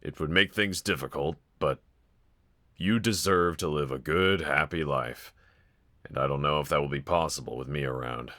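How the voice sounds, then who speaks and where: A man's voice: very deep voice, a bit bright. A man playing a brooding young villain roommate in an intimate audio roleplay, confiding hesitantly and wryly to one listener. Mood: hesitant, grateful. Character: brooding young male villain roommate, self-loathing but softly grateful.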